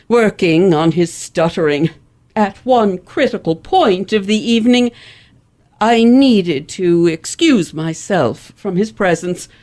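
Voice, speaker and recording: A woman's voice: medium pitch, darkish tone, natural voice. Woman doing a haughty victorian society lady for a radio play, pompous, boastful, every word carefully pronounced. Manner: pompous, grandiose, precise enunciation. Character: haughty, wealthy, indignant lady elocutionist of 1870s New England. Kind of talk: old-fashioned radio play acting.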